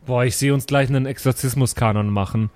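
A man's voice: medium pitch, steady tone, fast, a little mellow. A man playing a tabletop role-playing game, improvising and chatting in slangy, colloquial speech. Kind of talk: improvised role-play, casual chat.

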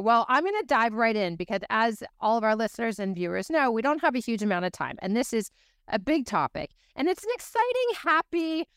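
Female interview host, American, upbeat and conversational, crisp clean voice in a dry studio sound. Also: medium pitch, no echo. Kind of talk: hosting an interview show.

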